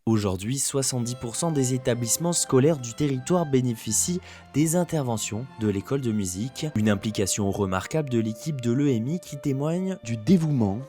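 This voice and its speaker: A man reading scripted narration for a promotional documentary, in a measured presenter's style. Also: mid-range voice, animated, mellow. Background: background music.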